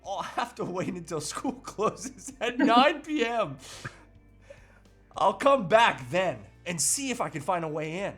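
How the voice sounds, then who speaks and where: A man's voice: high-pitched, sing-song, measured pace, fairly bright tone, husky, soft background music, heavily acted. A man playing a determined, earnest and melodramatic husband on a crusade in an amateur comedy table read. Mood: scheming, determined.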